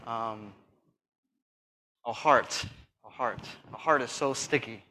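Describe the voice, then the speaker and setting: A soft-spoken man giving a sermon and reading Bible verses, hesitant with fillers and restarts, pressing hard on key phrases. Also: mid-range voice, takes it slowly. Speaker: church speaker.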